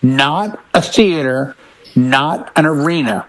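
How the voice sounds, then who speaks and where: This man speaks in a slightly high register as a panelist in a casual discussion. He is emphatic and opinionated, pressing each point insistently. His stories come with quoted lines acted out along the way. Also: slow pace, a bit bright, rough recording. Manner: acts out imagined quotes as he tells stories. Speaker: podcast panelist.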